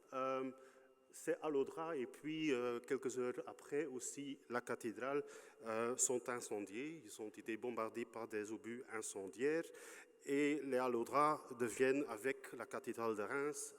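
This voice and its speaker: A Belgian man with a Flemish accent delivers an academic lecture, often pausing and filling gaps as he searches for words. His voice sits at a middle pitch. The sound carries a long reverb from a large hall. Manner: halting, searching for words, many fillers. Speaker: conference speaker.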